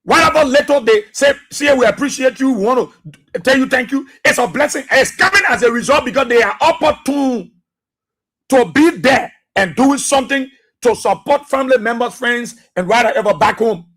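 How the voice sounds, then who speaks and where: A man's voice: normal speed, slightly rough. Liberian man preaching on the radio. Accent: Liberian English. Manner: low and subdued for birthday greetings, then loud and preachy; repetitive, exhorting, with many fillers. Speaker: radio talk show host. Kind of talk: radio talk show monologue.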